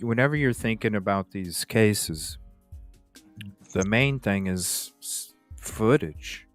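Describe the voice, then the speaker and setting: Low-pitched man, casual talk over music. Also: takes it slowly. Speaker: host of a true-crime livestream. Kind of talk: casual case discussion.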